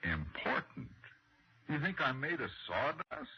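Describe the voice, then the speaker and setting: An American actor playing a restless, irritable young husband and former flyer in a 1960s radio drama, brooding and curt. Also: male voice, medium pitch, big pitch swings, unhurried, husky, exaggerated voice. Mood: irritated. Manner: hard-boiled, brooding, curt. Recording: dull old 1960s radio recording with music.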